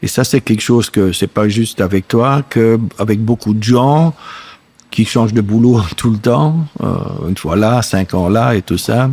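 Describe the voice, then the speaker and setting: A man with a German-speaking Belgian accent, hosting a podcast interview. He talks earnestly, searching for words with halting phrasing. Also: on the low side, darkish tone, husky. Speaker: podcast host, farmer. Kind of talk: casual interview chat.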